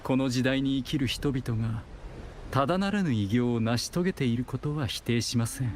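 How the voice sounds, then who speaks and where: An actor playing a detached, wry chronicler in a video game, narrating in a polite, formal and measured way. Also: male voice, medium pitch, noisy background, natural voice. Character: composed, detached male chronicler narrating a heroine's saga.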